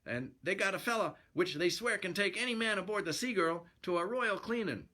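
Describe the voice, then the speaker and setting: A man voicing a gruff, excitable sailor sidekick in a storybook, with slangy, urgent talk. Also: high-pitched, a little fast, voice pushed up, exaggerated voice. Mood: excited. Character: excitable, gruff sailor sidekick, loyal to his boxer pal.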